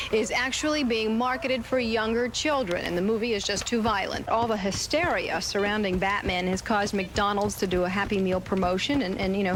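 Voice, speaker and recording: A woman's voice: medium pitch, slight background noise. A woman presenting a television news report in a reporter's style.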